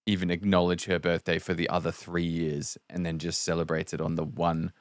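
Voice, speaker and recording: Dude chatting on a podcast, kinda low voice, blunt and informal, very dry sound. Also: male voice, flat delivery, brisk, no room sound. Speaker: podcast co-host. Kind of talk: casual podcast chat.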